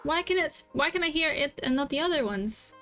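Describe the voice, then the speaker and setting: A woman's voice: high voice, lively intonation, brisk, over music. A woman streamer reacting casually to a video game in an exclamatory, playful way. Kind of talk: casual live gameplay reaction.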